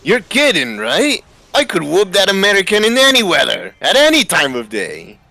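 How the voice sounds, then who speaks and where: A man's voice: sits high, huge pitch swings, normal speed, sharp tone, dry recording, heavily acted. A man voicing a boastful, hard-drinking Scottish mercenary who teases his companions, in a fan story reading. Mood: boastful, indignant. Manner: teasing, boastful. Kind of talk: amateur voice acting in a live fan story reading. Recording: music behind the voice.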